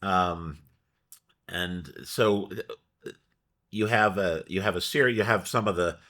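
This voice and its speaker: A man hosting a local food show, interviewing a guest in a relaxed, halting way with frequent restarts. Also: low voice. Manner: halting, many restarts and fillers. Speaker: show host. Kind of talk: conversational interview.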